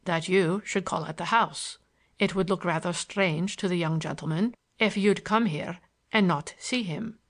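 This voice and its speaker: Female narrator as composed male servant, formal, obsequious, quietly sly, novel character. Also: on the low side, unhurried. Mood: deferential. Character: deferential, sly, imperturbable manservant.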